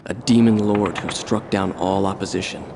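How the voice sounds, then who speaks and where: A man playing a weary, reflective veteran mercenary pilot in a video game, recalling the past in a measured, wistful interview monologue. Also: deep voice, steady tone, background noise. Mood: quiet admiration. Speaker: former mercenary fighter pilot being interviewed.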